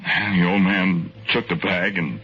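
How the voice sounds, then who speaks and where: A man giving grave, deliberate first-person narration in an old radio drama style. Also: sits low, steady tone. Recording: dull old radio recording, loud music behind.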